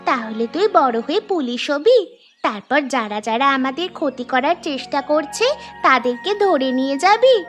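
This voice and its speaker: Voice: high voice, lively intonation, moderate pace, fairly bright tone, soft background music. A performer voicing a trusting little village girl in a cartoon, childlike and plaintive. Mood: hopeful. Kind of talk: cartoon voice acting.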